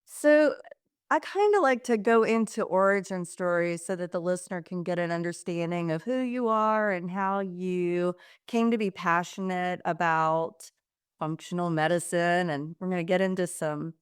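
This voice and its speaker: Friendly woman podcast host, smooth clear voice, dry close mic. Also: lowish voice, moderate pace, no echo. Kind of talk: casual host intro and interview.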